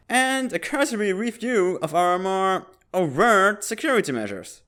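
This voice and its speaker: A man's voice: high-pitched, fairly bright tone. A man voicing a goofy but dutiful bird spy in a comic dub, deferential and colloquial in his speech. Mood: dutiful, nervous. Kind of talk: dramatic fan-dub comic acting.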